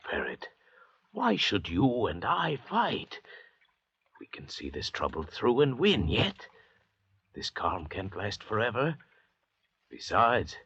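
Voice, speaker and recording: Male, cunning ship's doctor. Low, swooping theatrical delivery, coolly controlling, vintage radio melodrama. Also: fairly bright tone, husky, full character voice. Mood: wary, persuasive. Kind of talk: 1940s radio drama acting. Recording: muffled restored 1940s radio recording.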